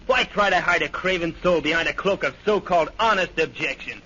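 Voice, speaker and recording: An actor playing a sneering young recruit, sarcastic and taunting, in 1930s radio drama style. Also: male voice, on the high side, a little fast, pushed and bright. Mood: scornful. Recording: dull, noisy 1930s radio recording.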